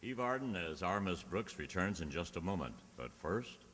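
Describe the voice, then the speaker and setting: Casting: male old-time radio pitchman, very low bright voice, emphatic, recorded in a reverberant hall. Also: lively intonation, moderate pace. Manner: emphatic, salesmanlike. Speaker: radio announcer. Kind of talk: old-time radio announcing and commercial read. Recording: reverberant hall, clear background noise.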